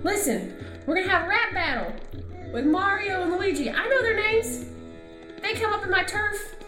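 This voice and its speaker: A woman's voice: very high voice, animated, normal speed. A woman voicing a boastful, crude male rapper villain in a comedic radio play, talking in bro-ish slang. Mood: pushy, boastful. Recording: roomy echo, music behind.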